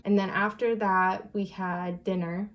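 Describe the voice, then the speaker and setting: A young adult woman co-hosting a vlog, telling a story casually in a relaxed, conversational way. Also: medium pitch, unhurried, loud music behind the voice. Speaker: vlog co-host.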